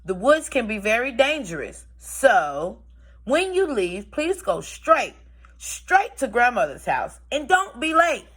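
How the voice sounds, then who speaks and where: A woman's voice: a little high, sing-song, slow, a bit bright, husky, exaggerated voice. A woman voicing a caring, cautioning storybook mother, with a singsong, instructive delivery.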